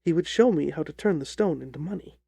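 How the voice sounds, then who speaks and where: A man narrating a story as an audiobook reader, in a measured, deliberate, dramatic style. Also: on the high side, animated, gravelly, dark tone, husky, dry recording.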